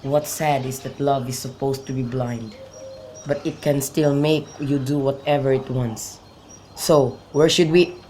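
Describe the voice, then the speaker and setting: A man's voice: mid-range voice, steady tone, unhurried, a little mellow, close to an everyday voice. A young man playing a lovesick, brooding youth in an amateur radio drama of modern-English Shakespeare, with birdsong behind him. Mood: gloomy, lovesick. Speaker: student actor. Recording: faint background noise with birdsong.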